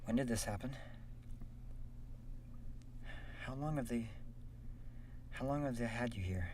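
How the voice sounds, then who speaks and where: A man playing a beaten, devoted prisoner husband in an audio drama, speaking in a strained, halting voice. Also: medium pitch, natural voice. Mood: pained, shocked. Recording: distant from mic, faint noise.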